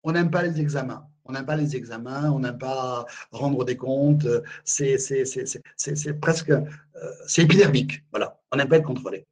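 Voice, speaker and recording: A man's voice: mid-range voice, moderate pace. A man presenting a management webinar on a video call, emphatic, repeating words and often tagging on "en fait, hein". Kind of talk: live webinar talk on management.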